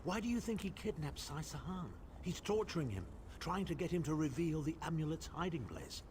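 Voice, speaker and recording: An actor playing a haughty aristocratic male mage with a dry, condescending manner, over steady game noise. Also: a little high, a little fast, natural voice. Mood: sardonic.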